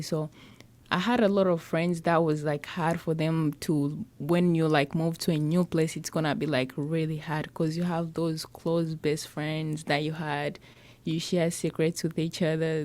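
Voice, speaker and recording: A teenage girl from Congo, a high school student, telling her own story in an interview, hesitant and full of "like" fillers. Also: little pitch movement, a little slow, darkish tone. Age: teens. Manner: hesitant, with frequent "like" fillers and restarts.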